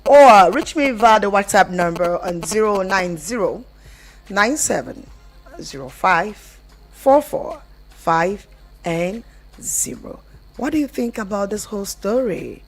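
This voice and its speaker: A woman with a Nigerian accent hosting a radio show in an expressive, conversational way, asking rhetorical questions. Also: medium pitch, rough recording. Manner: expressive, rhetorical questions, some Pidgin phrases. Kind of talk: conversational radio presenting with storytelling.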